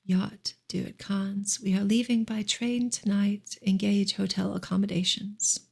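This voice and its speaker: A woman reading a novel aloud in a soft, hushed, breathy ASMR style, often slipping into a whisper. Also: medium pitch, flat delivery, measured pace. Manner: hushed, gentle delivery.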